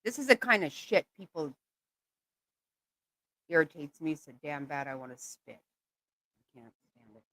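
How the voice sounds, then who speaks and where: A woman's voice: low-pitched, sing-song, a little slow, long pauses, fairly bright tone, no echo, rough recording. A woman giving blunt, exasperated reaction commentary, swearing often. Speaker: channel host reacting to clips.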